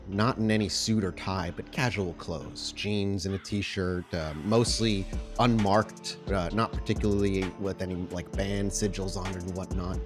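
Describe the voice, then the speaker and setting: A man hosting a tabletop role-playing podcast as storyteller, speaking in an informal, chatty way. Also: fairly low pitch. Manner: informal, chatty, swears casually. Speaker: podcast host and game storyteller. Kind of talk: casual podcast intro, then improvised tabletop game narration.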